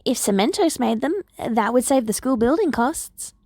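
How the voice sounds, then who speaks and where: Woman acting earnest hero-student girl, polite, persuasive, higher pitch, dry storybook recording. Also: lively intonation, moderate pace, darkish tone, no room sound.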